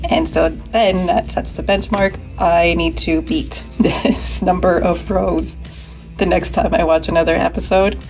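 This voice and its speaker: A woman's voice: lowish voice, fairly even intonation, measured pace, a little mellow, soft background music, rough recording. A woman in her thirties narrating a casual craft vlog, informally thinking aloud as she goes. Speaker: crochet crafter and vlogger.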